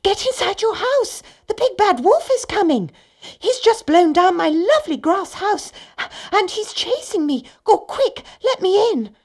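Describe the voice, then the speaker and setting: A woman voicing a young male little pig in a storybook, excitable and emphatic. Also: fairly high pitch, unhurried, vocal fry, breathy edge, pitched above the performer's own voice, full character voice. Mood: panicked. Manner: exclaiming, emphatic.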